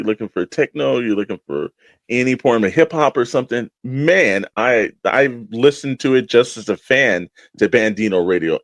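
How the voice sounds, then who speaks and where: A man's voice: lowish voice, dry recording. A man co-hosting a podcast, talking in a rambling, enthusiastic, informal way as he plugs shows. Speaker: podcast co-host. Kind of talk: casual promotional podcast chat.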